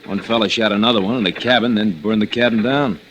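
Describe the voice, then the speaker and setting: A man's voice: fairly low pitch, quick pace, lo-fi sound, faint music behind, close to an everyday voice. An actor playing a folksy, chatty working man in a vintage radio drama, drawling out small talk. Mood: folksy, gossipy. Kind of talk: 1940s radio drama acting.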